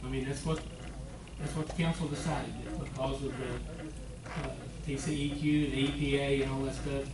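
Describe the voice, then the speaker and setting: An older man on a city council, speaking loudly and earnestly in defense of himself at a meeting. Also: medium pitch, flat delivery, normal speed. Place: council chamber. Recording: echoey room, far mic, noisy.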